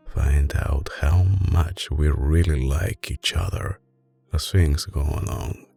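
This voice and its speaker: An extremely low, breathy man's performance of a male mafia boss in an ASMR romance roleplay, with an Italian accent. He speaks softly and very slowly, coaxing and tender yet possessive, in a dark, husky tone. Music plays behind him. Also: heavily acted. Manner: slow, hushed, coaxing, with dramatic pauses. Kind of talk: intimate ASMR roleplay, soft spoken and whispered.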